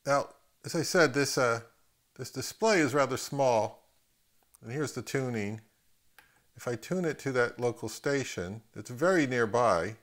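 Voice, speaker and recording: A man giving a casual, unscripted equipment review as a video host, thinking aloud in a halting way and repeating words. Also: mid-range voice, expressive. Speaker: host of an audio gear video channel.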